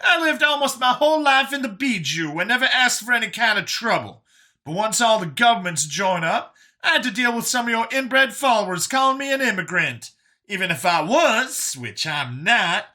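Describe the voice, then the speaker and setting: A man's voice: sits high, very animated, moderate pace, bright, forceful tone, pitched above the performer's own voice, heavily acted. A man voicing a hostile, indignant man in a story, ranting accusingly and emphatically. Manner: agitated, emphatic, foul-mouthed ranting.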